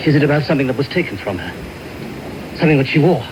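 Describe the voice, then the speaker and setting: A man's voice: medium pitch, sing-song, fast talker, lightly acted. Man acting a menacing villain on heavily noisy radio. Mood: impatient, suspicious. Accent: British. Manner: threatening, volatile, sudden outbursts. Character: menacing, secretive villainous man, violent-tempered, impatient schemer. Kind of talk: 1990s radio drama acting. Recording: very noisy medium-wave radio recording.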